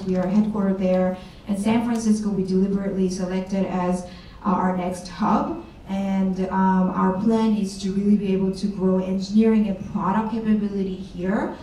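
A woman's voice: mid-range voice, dark tone, a little hiss or hum. A woman speaking as a company co-founder on a panel, with many fillers and restarts, into a handheld mic. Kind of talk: panel discussion answers. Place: large event room with audience. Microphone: handheld mic, far camera. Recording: echoey room recorded from far off.

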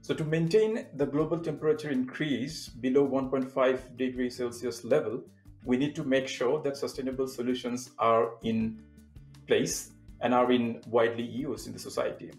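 A young man from Bangladesh, a sustainability consultant, giving a prepared remote talk in a steady, measured way with occasional fillers. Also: medium pitch, echoey room, soft background music. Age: young adult. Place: indoor room with plain wall.

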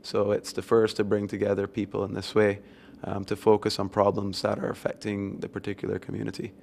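A man from Barbados answering interview questions in a measured, reflective way with frequent ums, speaking into a handheld mic at an event venue. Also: on the low side, completely flat delivery, gravelly, darkish tone, a little hiss or hum. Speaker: interview guest. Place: event venue with windows, seated on sofa.

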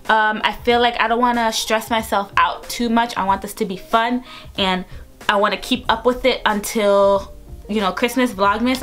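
A woman's voice: on the high side, a bit bright. A woman in her twenties talking casually to camera from her bedroom, upbeat and chatty with lots of fillers. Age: 20s. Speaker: vlogger. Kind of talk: casual vlog talk to camera. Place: bedroom, indoors.

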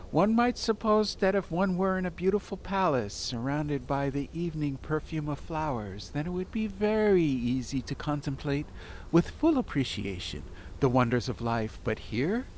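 A man's voice: fairly high pitch, very animated, normal speed, a little mellow, slight background noise, pitched above the performer's own voice, low-quality recording. A man voicing a chained prisoner who speaks in a formal, old-fashioned, cheerful way. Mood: earnest. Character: chained male prisoner, eloquent, upbeat, wordy. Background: ambient music.

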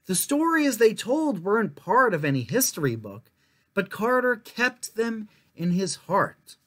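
Young guy reading a kids book out loud, takes it slow and measured. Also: high-pitched. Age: young adult. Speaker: storyteller reading a children's book aloud. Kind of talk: scripted narration, story time read-aloud.

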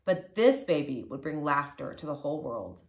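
A woman's voice: deep voice, very animated, normal speed. A middle-aged woman reading a children's story aloud expressively. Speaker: storybook reader. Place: living room, indoors. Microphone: camera or phone a short distance away.

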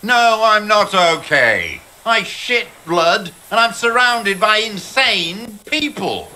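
An actor playing an embittered, unstable old deserter in a video game, with a strained, creaky voice and agitated, erratic delivery. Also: male voice, high voice, big pitch swings, slow pace, sharp tone, full character voice. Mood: bitter outrage. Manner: agitated, erratic, swinging between rage and despair.

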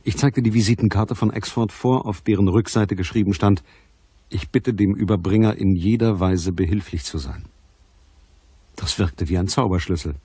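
An actor playing a businesslike, courteous private detective in a radio crime drama, with a husky voice and a measured, matter-of-fact manner. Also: male voice, on the low side, warm tone. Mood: dry, narrating. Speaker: narrator and lead actor.

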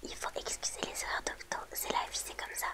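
A woman streamer whispering softly and gently to her viewers in an ASMR livestream, close to the mic. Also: a little fast.

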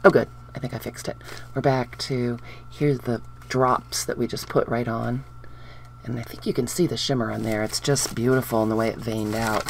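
Chatty woman with a gravelly voice. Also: a bass voice, background noise. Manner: chatty, upbeat, talks to viewers while handling papers. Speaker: craft tutorial host. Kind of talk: casual craft demonstration. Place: indoors at a wooden craft table.

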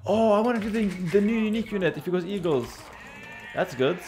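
A young man with a slightly raspy voice casually commenting on a strategy game he is playing while thanking chat, talking into a headset in a small room. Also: sits high, fairly quick, slight background noise. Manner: relaxed, thinking aloud, reading chat. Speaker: streamer. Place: small home room, indoors.

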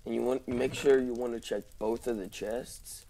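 A man with a slightly hoarse, just-woken voice giving casual, halting gameplay commentary as he thinks aloud. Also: mid-range voice, steady tone, warm tone, a little hiss or hum. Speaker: gaming video host.

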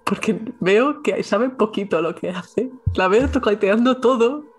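A woman's voice: mid-range voice, a little slow, slight background noise. A woman with a Castilian Spanish accent narrating her character in first person as a player in a tabletop game.

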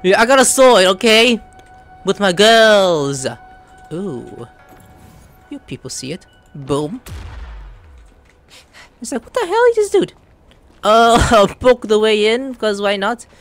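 A man's voice: sits high, big pitch swings, takes it slowly, long pauses, fairly bright tone. A man giving casual, playful playthrough commentary on a video game, reacting aloud as he goes. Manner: playful, reacts aloud, reads dialogue in character. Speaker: gaming streamer. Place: indoor room, game audio behind. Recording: faint game music behind voice.

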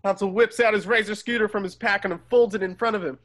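A man with a slightly high voice reads scripted stage directions. He narrates as part of an amateur table read held over a video call. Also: steady tone, fast talker, a bit bright.

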